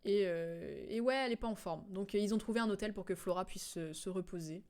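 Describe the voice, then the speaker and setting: Chatty woman streamer, mid-pitched voice. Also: a little mellow. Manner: chatty, informal, halting as she recalls. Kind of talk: casual game stream commentary, recapping story.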